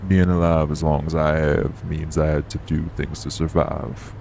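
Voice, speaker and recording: Man playing a very deep, gravelly shady immortal. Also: little pitch movement, normal speed, a little mellow, slight background noise. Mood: guarded, grim. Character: gruff, shady long-lived supernatural man with a past. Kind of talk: audio roleplay acting, urban fantasy drama. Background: vehicle on the road.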